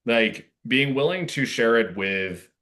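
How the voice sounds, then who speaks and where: A man giving earnest, casual interview answers, thoughtful and halting, with deliberate stresses on his words. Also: mid-range voice, lively intonation, fairly bright tone. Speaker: playwright guest.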